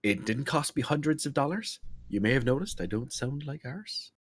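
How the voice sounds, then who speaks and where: A man's voice: mid-range voice, moderate pace, slight background noise. A man, a guest streamer, chatting emphatically on a podcast through a budget desk mic. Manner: lively, storytelling, emphatic. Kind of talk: casual podcast chat. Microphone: budget desk mic through a mixer.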